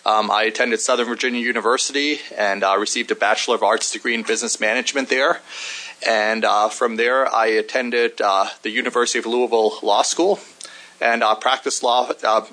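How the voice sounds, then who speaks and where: Man with a bright tone. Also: mid-range voice, steady tone, rough recording. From Germany. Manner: methodical and measured, frequent "uh" pauses. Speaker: committee staff member. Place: legislative committee hearing room.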